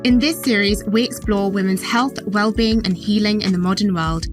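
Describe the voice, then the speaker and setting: A woman presents the show's scripted opening in a bright, emphatic way, her voice mid-range. Music plays clearly beneath her. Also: mid-range voice, normal speed. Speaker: podcast co-host. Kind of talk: scripted show intro.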